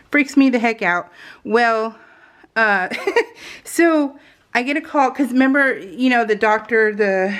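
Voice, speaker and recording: A woman's voice: medium pitch, a bit bright. A woman chatting casually while crafting on her vlog, rambling off on tangents in a jokey, self-deprecating way. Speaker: craft vlogger.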